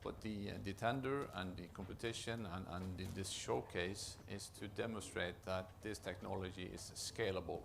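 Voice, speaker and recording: A man with a low, gravelly voice and a Norwegian accent gives a conference presentation. He speaks haltingly, often stopping to restart and repeat words. His voice is deep and creaky throughout. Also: steady tone, noisy background. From Norway. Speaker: project manager presenting at a conference.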